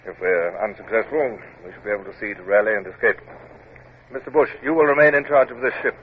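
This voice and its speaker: An actor playing a commanding, stern British naval captain in an old radio adventure drama, speaking in clipped, formal orders. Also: male voice, lowish voice, quick pace, natural voice. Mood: measured, firm. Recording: tinny, noisy vintage radio recording.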